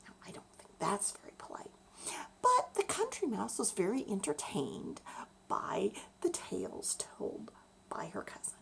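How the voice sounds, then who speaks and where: A woman telling a bedtime story to children, expressive and deliberate, explaining things as she goes. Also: medium pitch, creaky, in a whisper.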